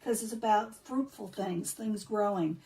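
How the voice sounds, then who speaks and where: A woman reading tarot cards in a casual, chatty way, losing her voice and clearing her throat now and then. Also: mid-range voice, measured pace, mellow, recorded from a distance, low-quality recording. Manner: chatty, repeats herself, many um fillers. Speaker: tarot reader.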